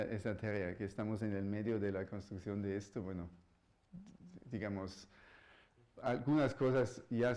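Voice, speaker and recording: A man with a slightly low voice delivers a public science lecture in a university hall. He is not a native speaker and often stops mid-phrase, restarts and searches for words while explaining. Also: a little mellow. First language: not Spanish. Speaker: physics professor giving a public lecture.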